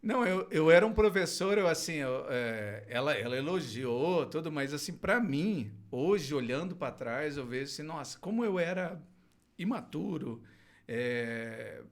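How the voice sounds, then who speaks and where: A man's voice: a little high, expressive, measured pace. A middle-aged man from Londrina in Brazil, a clown and theater teacher, telling his life story as a podcast guest with frequent hesitations and restarts. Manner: hesitant, many restarts and fillers, laughs easily. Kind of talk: casual storytelling interview.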